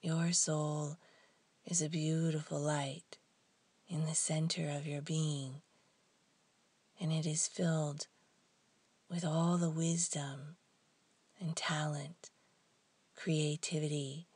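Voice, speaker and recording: A woman guides a meditation in a hushed, husky and breathy voice. Her delivery is soft and very even in pitch, with long pauses between short phrases. The sound is close and dry. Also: no room sound.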